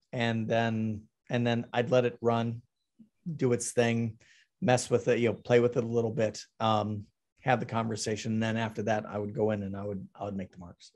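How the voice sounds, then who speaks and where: A middle-aged man talking casually about his artwork on a video call, halting with many ums and restarts. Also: medium pitch, a little mellow. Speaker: artist presenting his work. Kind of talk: casual talk over a slide presentation.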